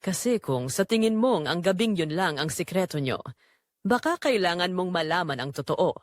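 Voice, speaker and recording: A mysterious Filipino woman with secrets, put on by a woman reader. She is coaxing and insinuating, with animated intonation in a dry audio drama recording. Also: on the low side, normal speed, dry recording, close to an everyday voice.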